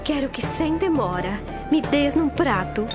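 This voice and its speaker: A woman as young princess, high voice, lively swooping delivery, demanding, Bible audio drama, music behind. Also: measured pace. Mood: eager, insistent. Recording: loud music bed behind.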